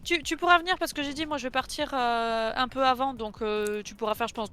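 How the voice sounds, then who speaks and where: A woman chatting excitedly and teasing her partner while they play a game with friends. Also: high voice, fairly quick, soft background music. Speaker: streamer's partner. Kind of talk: casual chat while gaming.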